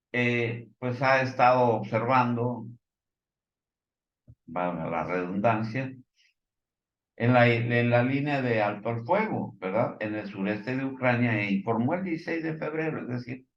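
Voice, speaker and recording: Slow-talking Mexican man in a roomy recording. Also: mid-range voice, dull audio. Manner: halting, hesitant delivery with filler words and stumbles. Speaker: commentator. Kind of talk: informal news commentary, partly reading reports.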